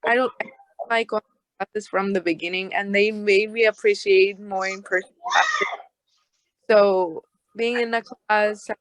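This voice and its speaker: A woman's voice: medium pitch, fairly even intonation, a little slow, long pauses, dry recording, poor audio. A woman in her twenties on a video call, speaking earnestly and searching for words. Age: 20s. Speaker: young adult panelist. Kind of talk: casual chat.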